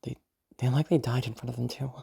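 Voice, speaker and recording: Woman doing a worried serious cop, kinda husky n creaky, roleplay audio. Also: a bass voice, steady tone, fast, dark tone, heavily acted. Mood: grave concern. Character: concerned police officer reporting soberly to a sergeant.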